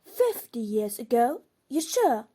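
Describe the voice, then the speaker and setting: A woman plays a clever schoolgirl witch in an audio drama, high in pitch. She is earnest and careful, reasoning things out with an inquisitive manner. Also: sing-song, slow, mellow, husky, heavily acted. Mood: curious, deducing.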